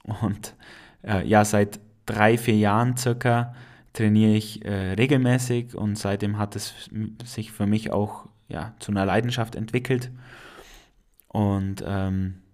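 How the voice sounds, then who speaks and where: A man in his late twenties from the Allgäu with a noticeable Allgäu accent, hosting a casual solo podcast in a relaxed, chatty way with many hesitations. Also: lowish voice, slow pace. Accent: Allgäu dialect. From Allgäu, Germany. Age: 20s. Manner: relaxed and chatty, frequent hesitations, laughs at himself. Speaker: podcast host.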